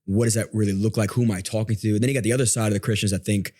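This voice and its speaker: Male podcast guest, slightly low register, inquisitive and conversational, explains himself as he talks. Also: fairly even intonation, racing, dark tone.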